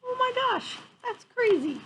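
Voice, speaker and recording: A woman's voice: extremely high pitch, unhurried, poor audio. A woman in her fifties reading a picture book aloud to children outdoors, with amused, chatty asides. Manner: animated, amused, exclaiming. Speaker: children's storyteller. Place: outdoors on a wooden deck among trees. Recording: faint outdoor ambient noise.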